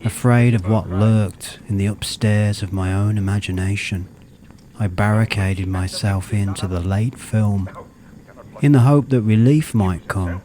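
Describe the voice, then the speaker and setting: A man narrating a scripted first-person story in a measured, literary way. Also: on the low side, steady tone, dark tone, slight background noise.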